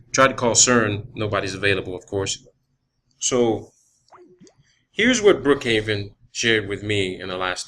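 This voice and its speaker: Guy on a phone call asking sciencey stuff, kinda halting, sharp lowish voice, some background noise. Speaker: caller, student researcher. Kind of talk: phone inquiry, explaining and questioning. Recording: noisy phone call recording.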